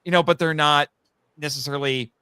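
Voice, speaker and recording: Bright, clear-voiced man chatting animatedly. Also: a little high, fairly quick, dry recording, clean studio sound. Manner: animated storyteller, acts out quotes. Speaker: guest, audio drama writer. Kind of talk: casual chat.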